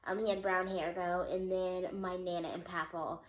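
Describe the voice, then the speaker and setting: A woman's voice: lowish voice, flat delivery, normal speed, a little hiss or hum. A young woman, a mother, giving a casual vlog update, a little congested and nasal, talking in a loose way full of ums and likes. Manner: chatty, lots of ums and likes. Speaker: vlogger. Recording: echoey room.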